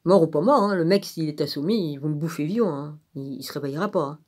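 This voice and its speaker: A woman's voice: deep voice, quick pace, a little mellow, husky. Blunt, irritated woman ranting informally. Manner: blunt, irritated, crude colloquial speech; sarcastic, punctuates phrases with rhetorical tags. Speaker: vlogger. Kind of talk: rambling informal rant.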